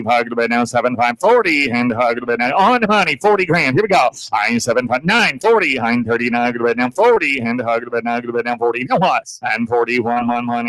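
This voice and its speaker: A man's voice: medium pitch, fairly bright tone, no room sound, rough recording. A man calling a tractor auction, rattling off bids in a rhythmic sing-song chant, recorded from a live online stream.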